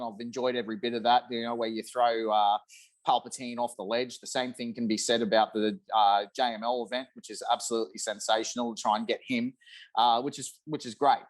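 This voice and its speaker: A man in his thirties chatting casually and emphatically about a video game on a video call, with lots of enthusiasm. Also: mid-range voice. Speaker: podcast guest. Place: room at home, on a video call.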